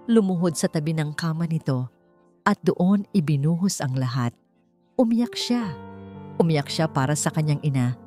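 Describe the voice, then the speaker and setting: A woman narrating a scripted romance drama in a measured, suspenseful and melodramatic manner. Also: fairly low pitch, mellow. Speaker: story narrator. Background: music.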